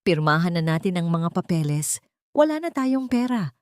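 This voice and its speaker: A woman's voice: fairly low pitch, big pitch swings, moderate pace, a little mellow, clean tone, no echo, clean studio sound. A woman voicing a bitter, resigned adult son in an audiobook drama, weary and reproachful.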